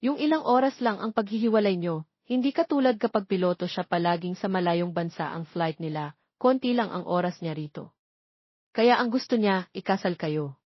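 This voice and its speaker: A woman's voice: on the low side, normal speed, husky, no room sound, muffled recording. A woman voicing a caring mother in a story, persuasive and motherly as she counsels her daughter. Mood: concerned, persuasive. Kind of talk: dramatized storytelling dialogue.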